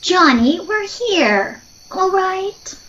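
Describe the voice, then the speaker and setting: A woman's voice: very high-pitched, lively intonation, very slow, a bit bright, heavily acted. A woman playing an earnest, easily spooked sister who chides and scolds in an audio drama. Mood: chiding. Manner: chiding. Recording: dull lo-fi audio, faint noise.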